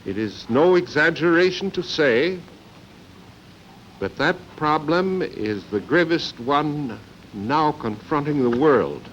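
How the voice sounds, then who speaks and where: A man's voice: fairly high pitch, lively intonation, takes it slowly, a bit bright. An American man in his seventies giving a grave, formal speech as a delegate, phrase by phrase. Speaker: chief diplomatic delegate. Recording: dull, noisy 1950 archive recording.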